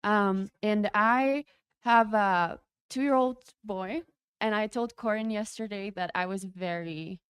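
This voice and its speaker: Race winner, woman from the Czech Republic, mid-pitched, hesitant and laughing. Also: takes it slowly, smooth, no room sound, clean studio sound. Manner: giggly, hesitant, many fillers. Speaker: race champion guest. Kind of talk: interview answers. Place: race broadcast studio.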